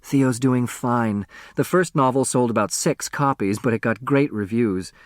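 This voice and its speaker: A man plays a loyal female lawyer from a storybook. She speaks in a matter-of-fact way with gentle reassurance, at a middling pitch. The recording is very dry. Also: a little slow, no echo, lightly acted. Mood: fond, upbeat. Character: adult woman lawyer, loyal, brisk, tenderly reassuring.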